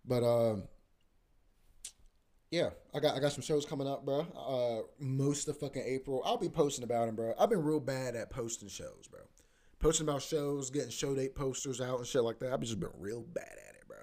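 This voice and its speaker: A young man in his twenties runs his own podcast in an easygoing, rambling monologue, full of slang and fillers. He speaks at a middle pitch, relaxed and unhurried. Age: 20s. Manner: laid-back, slangy, rambling with many fillers. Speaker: podcast host. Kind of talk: casual solo podcast monologue.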